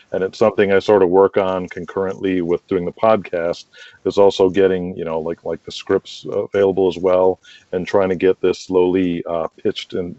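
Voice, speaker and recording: A man's voice: sits low, warm tone. A man chatting casually as a podcast guest, agreeable and eager to promote his show. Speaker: podcast guest, audio drama creator. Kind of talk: casual interview chat. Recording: dull lo-fi roomy call audio.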